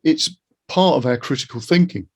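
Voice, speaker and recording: A middle-aged man chats in a casual interview with a husky voice and plenty of gravelly creak. He is reflective and earnest, setting out his opinions as he goes. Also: fairly high pitch, wildly swooping pitch, normal speed.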